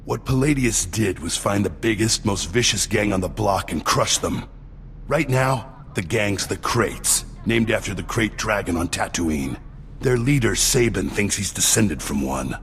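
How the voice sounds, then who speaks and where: An actor playing a blunt, rough street rebel in a video game, talking in clipped, colloquial phrases. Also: male voice, lowish voice, expressive, unhurried, fairly bright tone, big-room sound, slight background noise. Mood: gruff, defiant.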